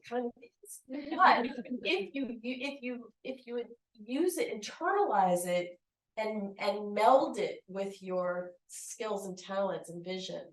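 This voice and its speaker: A woman on a panel answers with animated energy, acting out quoted voices as she goes. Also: medium pitch. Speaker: panelist, anthology contributor. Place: event hall. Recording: roomy echo, distant mic.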